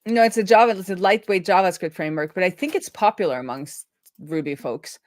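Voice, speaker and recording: A woman's voice: lowish voice, fairly quick, dry recording. A woman hosting a live coding stream in casual chat, informally thinking aloud as she explains. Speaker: live stream host, web developer.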